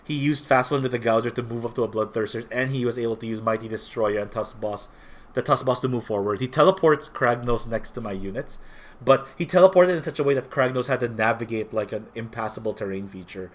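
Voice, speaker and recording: A man's voice: medium pitch, fairly quick. A young man from the Philippines with a slightly nasal voice, a little sick, giving a casual spoken recap as a tournament player with frequent self-corrections.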